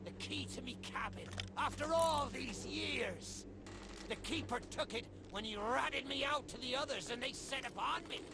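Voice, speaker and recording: A man playing a wry, crafty undead pirate captain in a video game, with a gravelly voice and a theatrical West Country pirate accent. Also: extremely high pitch, heavy background noise. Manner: theatrical old seafaring dialect, wry. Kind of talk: video game character acting. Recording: music behind the voice. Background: loud game music.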